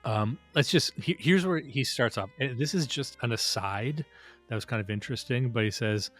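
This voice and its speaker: A man's voice: mid-range voice, moderate pace, a bit bright, soft background music. A man co-hosting a podcast in casual chat, sarcastic and profane, laughing often. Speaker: podcast co-host.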